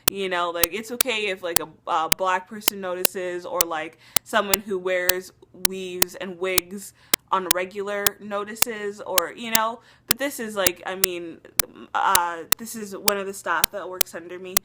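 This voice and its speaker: A woman's voice: on the low side, fairly even intonation, a little slow, very noisy. A young woman talking casually to her camera about her hair, chatty, with lots of fillers and restarts, a little sick and losing her voice. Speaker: beauty vlogger. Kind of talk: casual rambling hair review.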